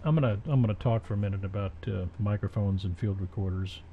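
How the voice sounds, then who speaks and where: A man presenting an audio tutorial in an informal, rambling way, with halting pauses and personal anecdotes, on a slightly dull recording. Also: fairly low pitch.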